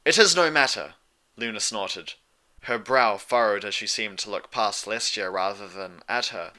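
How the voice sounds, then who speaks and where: A man's voice: fairly low pitch, steady tone, moderate pace, sharp tone. A man reading a scripted story aloud as its narrator. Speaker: fan fiction narrator.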